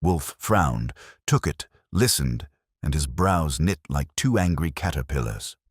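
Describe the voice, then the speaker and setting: A man with a very deep voice narrates a detective story in the first person. His delivery is wry and hardboiled, with wide swings in pitch, and the recording is dry and close. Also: lively intonation, unhurried, husky, dry recording. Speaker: audiobook narrator.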